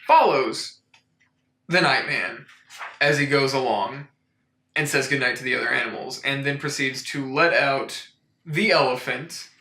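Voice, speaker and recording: A man hosting a comic storytime in a mock-serious, deadpan way, rambling into incredulous asides. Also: mid-range voice, measured pace, reverberant, slight background noise, low-quality recording. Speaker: host of a comic storytime show. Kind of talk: deadpan comedic picture book review.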